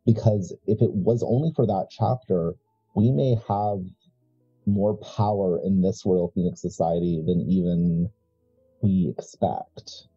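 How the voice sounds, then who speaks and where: Man playing a low, husky, scheming occult heir. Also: fairly even intonation, warm tone, exaggerated voice. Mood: proud, scheming. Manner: deliberate, halting, explanatory. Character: scheming male occult heir, measured, proud, coldly calculating. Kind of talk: improvised tabletop horror roleplay. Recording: muffled mic with music behind.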